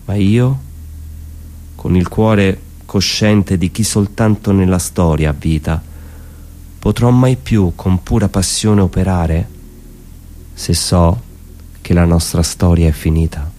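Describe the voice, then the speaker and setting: Deep-voiced man reciting poetry. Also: slow, long pauses, mellow.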